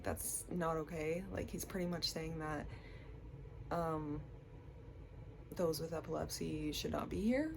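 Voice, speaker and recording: A young woman talks to her camera with the blocked, nasal sound of a head cold. She speaks in a lowish voice at a slow, unhurried pace, rambling along with fillers. A faint hiss sits under the recording. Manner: chatty, rambling with many likes and ums. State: stuffy, congested from a cold. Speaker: vlogger. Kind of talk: casual chat to camera.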